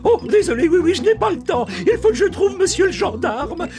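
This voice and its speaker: A fussy toy townsperson with a high, flustered voice. The delivery is exclamatory children's cartoon acting, with music clearly audible behind it. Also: female voice, very animated, a little fast, heavily acted. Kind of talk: children's cartoon dialogue, French dub.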